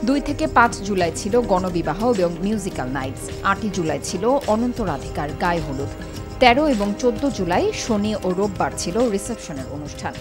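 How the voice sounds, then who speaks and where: A woman with a fairly low voice reads a news voice-over at an even, steady pace. Loud music plays under her the whole time. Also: fairly quick. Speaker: voice-over narrator.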